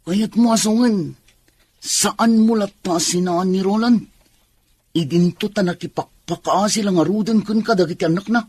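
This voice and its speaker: Woman acting a husky, chiding, disapproving confidante. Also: medium pitch, normal speed, darkish tone, close to an everyday voice. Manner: chiding, earnest. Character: disapproving confidante pitying her lover's children. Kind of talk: radio drama acting.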